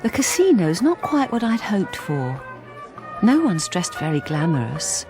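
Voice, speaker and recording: A woman's voice: fairly low pitch, very animated, measured pace, darkish tone, soft background music. A woman playing a timid, anxious wife in a radio drama, speaking hesitantly with stammering restarts, both in dialogue and intimate narration. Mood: quiet, confiding. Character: anxious, timid wife who has turned invisible.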